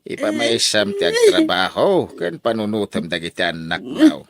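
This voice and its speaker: A man's voice: fairly low pitch, huge pitch swings, normal speed, no room sound. An actor playing a stern, worried elderly father who admonishes in an Ilocano accent in a radio drama. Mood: admonishing. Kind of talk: Filipino radio drama acting.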